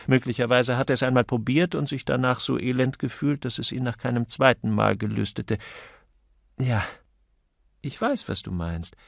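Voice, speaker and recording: A man's voice: medium pitch, normal speed, gravelly, no echo, clean studio sound. A man voicing a witty, flippant upper-class amateur detective in an audiobook, chatty, wry and urbane. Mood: wry, breezy.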